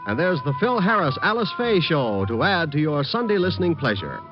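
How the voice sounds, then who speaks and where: A male American radio announcer from the golden age of broadcasting, with a fairly high, very animated voice. His scripted delivery is formal and polished. The recording is muffled, with music behind him. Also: brisk.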